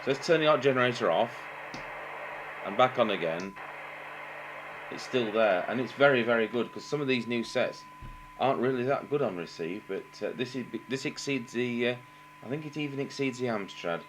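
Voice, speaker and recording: A middle-aged man giving an unscripted technical demonstration as a radio engineer, haltingly thinking aloud. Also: medium pitch, lively intonation. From England. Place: indoor workbench, voice off camera. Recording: noticeable background noise with hum.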